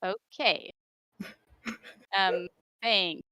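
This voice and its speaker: A woman's voice: mid-range voice, drawn-out words, bright, forceful tone, smooth, rough recording. A woman playing a tabletop roleplay, improvising casually and somewhat tentatively. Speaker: roleplay player.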